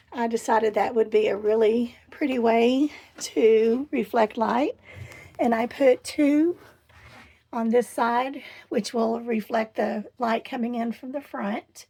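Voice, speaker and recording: A middle-aged woman with a hoarse voice, chatting casually as she gives a tour of her camper van. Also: on the high side, darkish tone. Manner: chatty and enthusiastic, pausing while showing things. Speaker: vlogger. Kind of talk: casual home tour vlog. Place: inside a small camper van.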